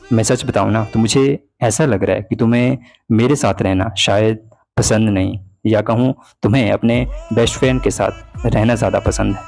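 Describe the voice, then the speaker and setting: A man's voice: lowish voice, moderate pace, vocal fry, darkish tone. A young man playing a hurt, jealous boyfriend, reproachful and pleading in a dramatized breakup talk. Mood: sad, doubtful. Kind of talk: dramatized breakup phone conversation in Hindi. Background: background music.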